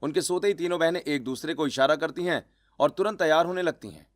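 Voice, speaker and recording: A man's voice: a little high, fast, dry recording, clean studio sound. A man reading scripted narration for a cartoon family story. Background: background music.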